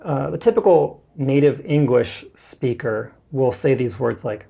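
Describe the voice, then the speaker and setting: A man's voice: medium pitch, measured pace, vocal fry, warm tone, husky. A young man, a guest and Japanese tutor, explaining pronunciation in a loose, casual way with lots of filler words. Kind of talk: casual conversational interview.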